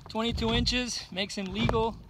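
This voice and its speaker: A fairly high-voiced man in his forties films himself fishing out on open water, chatting to his viewers in an excited, casual way. Heavy engine and water noise roar around him, picked up by a camera mounted on his jet ski. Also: normal speed. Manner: excited, talks to viewers as guys. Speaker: fishing vlogger. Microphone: action camera mounted on the craft.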